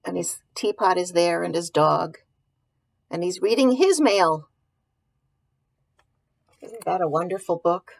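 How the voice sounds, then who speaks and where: A woman's voice: lowish voice, unhurried, vocal fry, pauses often, no echo. A woman reading a picture book aloud to young children, stopping to ask questions, muse aloud and explain things simply. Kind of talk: read-aloud with informal commentary to young children.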